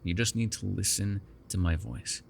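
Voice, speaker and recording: A man's voice: fairly low pitch, steady tone, normal speed, gravelly, a little hiss or hum. A man playing a sardonic, prickly but secretly caring vampire in an ASMR roleplay, with a teasing manner. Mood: soothing, hypnotic. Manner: teasing, sarcastic, breaking into exasperation and soothing murmurs.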